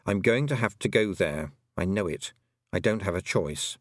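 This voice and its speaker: A man's voice: low voice, lively intonation, moderate pace, no echo, clean studio sound. A man voicing a sensible, determined young girl who speaks earnestly and plainly. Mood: resolved.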